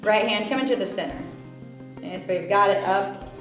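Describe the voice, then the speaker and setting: A woman's voice: medium pitch, brisk. A woman leading a yoga class, giving stretching cues with chatty, joking asides. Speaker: yoga instructor. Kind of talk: guided yoga class instruction. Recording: echoey room with background music.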